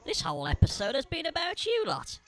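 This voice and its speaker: A woman's voice: high-pitched, huge pitch swings, brisk, a bit bright, faint music behind, full character voice. A woman playing a self-important, sulky barman in an amateur comedy audio drama.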